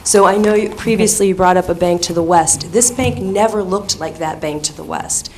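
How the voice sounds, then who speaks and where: Hoarse woman presenting at a hearing. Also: on the low side, moderate pace, slight background noise. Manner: earnest, explanatory, persuasive. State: hoarse, sick. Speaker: consultant presenting a restoration plan. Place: town hall meeting room. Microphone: shared table microphone.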